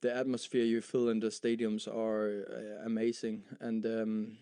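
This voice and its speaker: A young Danish footballer with Danish as his first language, answering interview questions in a halting way, with many fillers and repeated words. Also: on the low side, completely flat delivery, dark tone, clear, resonant tone. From Denmark. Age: young adult. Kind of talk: post-match style interview.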